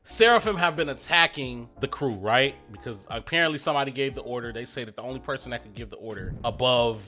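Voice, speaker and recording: Host: young man, a little stuffy from a cold, hyped slangy recap, bright tone, faint music underneath. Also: mid-range voice, fast talker. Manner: excitable, slangy, laughs often, acts out character lines. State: getting over a cold, a bit stuffy. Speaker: anime review YouTuber. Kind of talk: casual manga review monologue.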